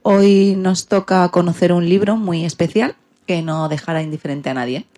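A woman's voice: lowish voice, fairly even intonation, normal speed, mellow. A woman with a Spain Spanish accent hosting a radio show in a loosely scripted, somewhat hesitant way.

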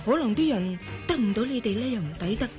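An actress playing a gossipy, animated young office worker in a Hong Kong Cantonese radio drama. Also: female voice, on the high side, fast talker, music in the background, close to an everyday voice. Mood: consoling. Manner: animated, teasing, coy.

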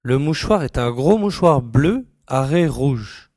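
A man's voice: mid-range voice, expressive, slow pace, darkish tone, dry recording, studio quality. A man playing a keen, self-assured young reporter turned sleuth, emphatic and declarative in an audiobook drama. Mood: confident, grave. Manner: emphatic, repeating words, declarative.

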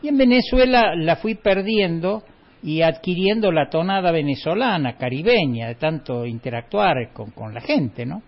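A man's voice: on the high side, animated, moderate pace. A middle-aged man from Córdoba with a slight Cordoban Argentine accent, hosting a radio show and telling wry anecdotes in a casual chat. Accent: Cordoban Argentine Spanish, slight. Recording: dull lo-fi radio recording.

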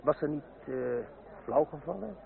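Talkative French gentleman, tinny sound. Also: fairly high pitch, normal speed, creaky. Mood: gossipy, teasing. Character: talkative, politically indignant French gentleman confidant. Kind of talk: radio play acting. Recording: tinny, band-limited old radio recording.

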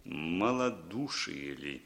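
An actor playing a cool, skeptical Western spy in a Soviet radio play, dry, sardonic and measured. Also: male voice, low voice, animated, fairly bright tone, full character voice. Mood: doubting, cool.

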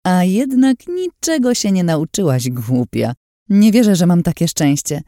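Woman acting a high-pitched, sarcastic scheming villainess. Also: very animated, unhurried, dark tone, no echo, clean studio sound. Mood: gloating. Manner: mocking, theatrical. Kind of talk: dramatised audiobook narration.

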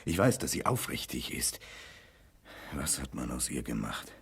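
A man's voice: extremely low pitch, very animated, a little slow, a bit bright, breathy edge, exaggerated voice. An actor playing a remorseful man tormented by memories of lost love, narrating earnestly and lyrically in a 1960s German radio play. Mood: anguished.

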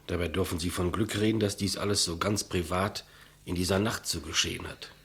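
Very husky, humble executioner. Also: male voice, low voice, fairly even intonation, fairly quick, a bit bright, full character voice. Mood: deferential. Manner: subdued, deferential, plain. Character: humble, plodding, matter-of-fact male state executioner. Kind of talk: 1950s German radio play acting.

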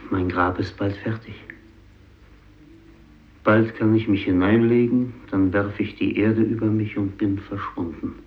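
Actor as slow, low-voiced haunted fisherman. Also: steady tone, close to an everyday voice. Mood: bitter, haunted. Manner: solemn, deliberate, halting delivery with heavy pauses. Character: doomed, guilt-ridden Japanese fisherman, sole survivor of his village. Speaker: narrator and main character. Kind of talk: 1950s German radio drama monologue. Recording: dull vintage radio recording.

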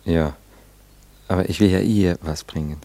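A courteous gentleman in an intimate radio drama. His voice sits low. He is reserved and reflective, answering politely but evasively. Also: moderate pace. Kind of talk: intimate radio play dialogue.

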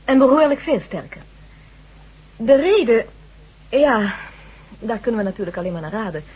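A composed, matter-of-fact research doctor in an old radio play, slightly high in pitch. The voice is husky and the recording dull and lo-fi. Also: female voice, a little mellow. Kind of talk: 1970s radio drama acting.

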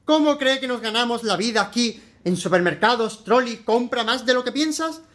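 An actor playing a menacing supermarket customer-service boss, formal and mock-courteous, in a satirical radio drama. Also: male voice, extremely high pitch, lively intonation, normal speed, a bit bright.

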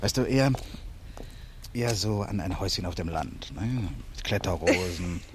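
Male actor for easygoing lover in 1970s radio crime play; lowish, teasing, conversational; slightly noisy vintage recording. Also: normal speed, lightly acted. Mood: tender teasing. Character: easygoing, affectionate man comforting his sweetheart in crime drama.

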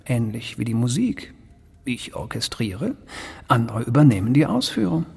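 An actor playing a cold, cultured criminal mastermind in a radio drama, speaking with pompous, deliberate precision and calm menace. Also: male voice, medium pitch, vocal fry, husky, in a large hall, heavily acted. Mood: smug, self-important.

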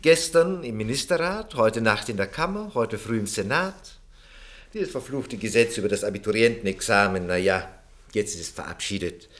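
A man's voice: fairly low pitch, animated, normal speed, a bit bright. An actor playing a servile, ambitious, scheming male minister in a German radio play, with an obsequious, theatrical way of talking. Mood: fawning, weary.

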